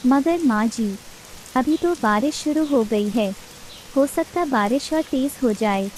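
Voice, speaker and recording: A woman's voice: sits high, expressive, takes it slowly, darkish tone, slight background noise, low-quality recording. A woman voicing a meek, obedient young daughter-in-law bird in a cartoon, speaking politely and hesitantly. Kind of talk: Hindi cartoon character acting.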